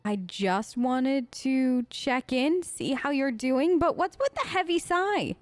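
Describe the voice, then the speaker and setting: A woman with a high voice, recorded close and dry. She role-plays her game character as a let's play host, talking in a casual, rambling, hesitant way. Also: animated, slow, no room sound. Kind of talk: improvised in-character game dialogue, casual chat.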